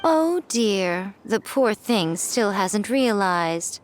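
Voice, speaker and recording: A woman voicing a composed, knowing female video game character who explains things in a measured, mock-sympathetic manner. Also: mid-range voice, sing-song. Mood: mock pity.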